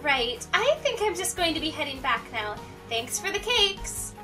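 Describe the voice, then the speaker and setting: A woman's voice: sits high, big pitch swings, normal speed, distant mic, over music. A young woman reading a visual novel game aloud in a playful way, mixed with casual banter. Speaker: let's play host.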